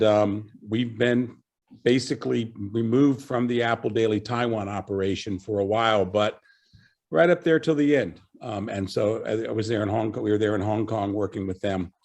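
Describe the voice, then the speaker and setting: A man's voice: lowish voice, moderate pace. A middle-aged man from Virginia, a panelist giving a casual, rambling self-introduction over a video call. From Virginia, USA. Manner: relaxed, rambling with many fillers.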